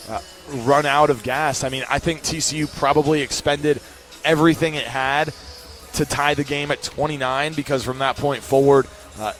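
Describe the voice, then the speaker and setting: A man working as a play-by-play commentator, breaking down a basketball game in a measured, analytical way. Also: mid-range voice. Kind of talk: sports analysis talk.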